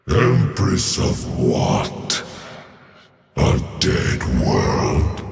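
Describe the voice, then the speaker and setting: An actor playing a grandiose, scornful old deposed emperor in a video game, with a gravelly, booming voice and pompous, theatrical delivery. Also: male voice, exaggerated voice. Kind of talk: video game villain acting. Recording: roomy long reverb over music.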